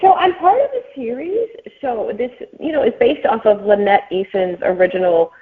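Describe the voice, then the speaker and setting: A woman's voice: on the high side, animated, moderate pace, phone-quality sound. Woman author guest on thin call audio. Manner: informal explaining with frequent "you know". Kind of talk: casual interview chat. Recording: thin band-limited call sound.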